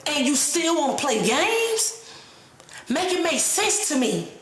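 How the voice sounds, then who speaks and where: A woman's voice: sits high, sing-song, slow pace, a bit bright, echoey room, a little hiss or hum. A woman in her late thirties giving a blunt, profane, streetwise talk straight to the camera, preaching about people who play games. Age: 30s. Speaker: vlogger. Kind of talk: unscripted personal rant.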